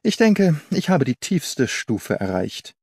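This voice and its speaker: A man's voice: a little high, very animated, takes it slowly, slightly rough, no room sound, studio quality, pitched above the performer's own voice, heavily acted. A man voicing a haughty, didactic detective in an audiobook, pompous and precise in his lecturing. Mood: scornful, gloomy. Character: haughty, didactic male detective, proud of cold logic. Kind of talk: German audiobook character reading.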